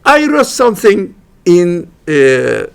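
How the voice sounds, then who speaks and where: A man with a Turkish accent, a native Turkish speaker, giving an informal religious studies lecture in halting English, restarting phrases and making wry jokes at his own expense. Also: high voice, very animated, gravelly, a little hiss or hum. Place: lecture room at a university religious center.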